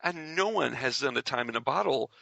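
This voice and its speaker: Bright, gravelly man, podcast host. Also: mid-range voice, animated, fairly quick, dry recording. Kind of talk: casual interview chat.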